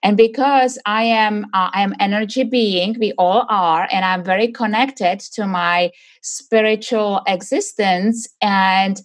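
A woman's voice: medium pitch, fairly even intonation, measured pace. Middle-aged woman, interview guest, earnest and searching, frequent fillers. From Czechoslovakia. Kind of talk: conversational interview answers.

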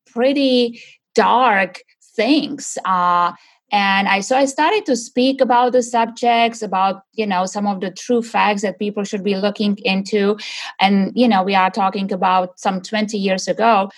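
A woman's voice: mid-range voice, steady tone, measured pace. A middle-aged woman from Czechoslovakia, answering interview questions in an earnest, searching way with many fillers and restarts. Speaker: guest.

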